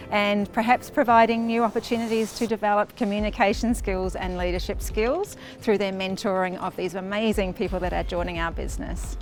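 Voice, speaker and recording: A woman speaking as a company spokesperson in a corporate interview, polished and articulate. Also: medium pitch. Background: music.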